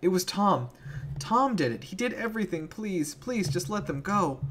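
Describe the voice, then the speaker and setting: A man's voice: high voice, animated, a bit bright, slight background noise, pitched above the performer's own voice. Man acting a desperate, frightened boy, raised voice. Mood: panicked pleading. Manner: halting, pleading, urgent. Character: frightened, desperate malnourished boy pleading bravely.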